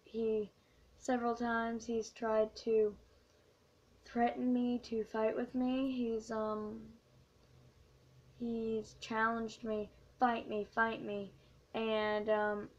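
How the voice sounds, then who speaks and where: Hushed hoarse teen girl, flat delivery. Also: medium pitch, dull audio. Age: teens. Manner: hushed, halting, many fillers. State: hoarse and quiet. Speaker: YouTuber. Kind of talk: late-night confessional vlog.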